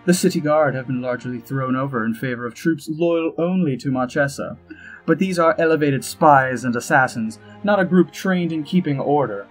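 An actor playing a suave, self-satisfied elf ambassador and spymaster in an audio drama, arch, droll and flowery, with a husky voice. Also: male voice, on the high side, moderate pace, low-quality recording. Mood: wry relish. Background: music under the voice.

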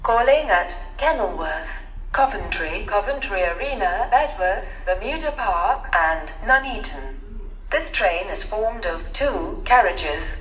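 A woman's voice: mid-range voice, expressive, slow pace, fairly bright tone, soft background music. A woman station announcer reading automated announcements in formal, segmented phrases over a public address speaker. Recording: distant, dull, echoing station PA recording.

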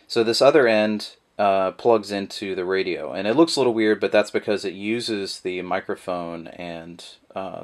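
A man giving a casual, rambling how-to demonstration of a product, full of fillers, his voice picked up by the camera mic at a table indoors. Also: low-pitched. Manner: relaxed, rambling with many fillers. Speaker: product reviewer.

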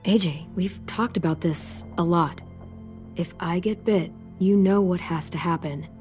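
A woman's voice: on the low side, a little slow, a little mellow, faint music behind, natural voice. A woman playing a tough, protective teenage girl in a dramatic video game, firm and serious like a young parent.

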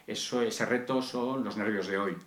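A man explaining in an interview soundbite, earnest and rapid. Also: lowish voice, fairly even intonation, a bit bright. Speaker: film course organizer. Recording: echoey room.

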